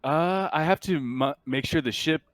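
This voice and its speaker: A man voicing a polite, guarded ship's android in a tabletop game, apologetic and measured in manner. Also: on the high side, fairly bright tone, pitched above the performer's own voice, close to an everyday voice. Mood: matter-of-fact, courteous. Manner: measured, conciliatory, formally courteous. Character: polite, guarded, apologetic android named James minding a ship. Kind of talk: improvised tabletop roleplay acting.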